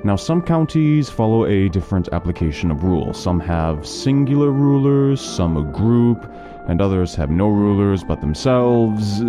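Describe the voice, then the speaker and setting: Guy doing a learned fantasy doctor, low voice, formal n careful, guarded, music behind him. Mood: patient, explaining. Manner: formal, deliberate, hesitant. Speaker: voice actor in an interactive fantasy audio story. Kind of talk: fantasy audio drama acting.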